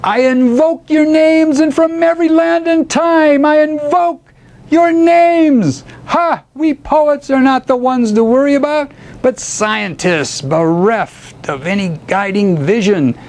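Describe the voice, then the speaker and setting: A man's voice: high-pitched, measured pace, darkish tone, voice pushed up. A man voicing an eccentric, grandiose elder poet in a theatrical, incantatory recital of epic verse. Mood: defiant, invoking. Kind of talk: dramatic epic poetry recital.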